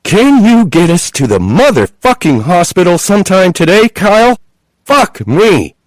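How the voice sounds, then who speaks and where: A man voicing a woman in labor who yells and swears fiercely at those around her. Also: high voice, sing-song, a little slow, no room sound, pitched above the performer's own voice. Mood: furious. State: in labor pain. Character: furious woman in labor, sharp-tongued.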